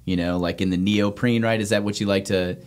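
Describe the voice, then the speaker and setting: A man with a fairly low voice hosts a podcast. He talks casually and informally, keeping up a bantering tone with the people around him. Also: steady tone, fairly quick. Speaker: podcast host. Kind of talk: casual chat, hosting.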